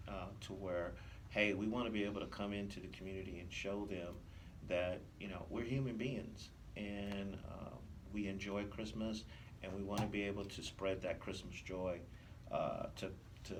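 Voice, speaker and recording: A man speaking as a police officer in an interview, explaining earnestly in formal wording with hesitant pauses. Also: deep voice, fairly even intonation, slight background noise, rough recording.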